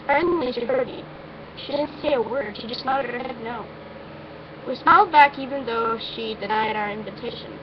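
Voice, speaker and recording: A woman's voice: on the high side, moderate pace. A woman reading a first-person story aloud in an informal, amateur way, now and then stumbling over her words. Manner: informal, stumbles and breaks off with asides. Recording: muffled, noisy amateur recording.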